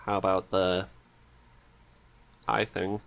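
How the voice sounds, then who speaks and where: A man's voice: low voice, level tone, slow pace, creaky, fairly bright tone. A man hosting a casual interview chat as the interviewer. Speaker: podcast host, interviewer.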